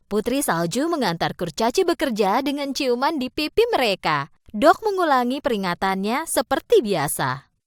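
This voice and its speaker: A woman narrating a children's cartoon fairy tale in an expressive storytelling manner. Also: a little high.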